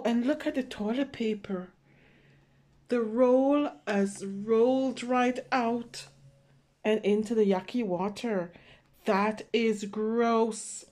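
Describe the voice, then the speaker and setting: Slow-talking woman reading to young children. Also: a little high, dark tone. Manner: simple, child-directed explaining with check-in questions. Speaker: children's read-aloud storyteller. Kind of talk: picture book read-aloud with commentary for young children.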